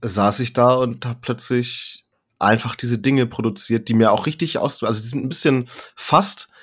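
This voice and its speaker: A man's voice: mid-range voice, moderate pace, a bit bright, husky. Male podcast guest, casual interview chat, rambling delivery with self-interruptions and emphatic repetition, mid-range voice. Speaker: podcast guest, poetry slammer and author.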